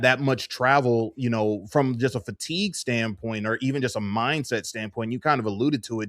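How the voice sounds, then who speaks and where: A man's voice: mid-range voice, a bit bright, dry recording, studio quality. A man hosting a conversational sports interview, building up long questions piece by piece.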